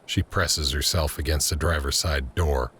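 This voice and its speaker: Really deep guy narrating an audio drama script, scene by scene. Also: moderate pace, a bit bright, slightly rough.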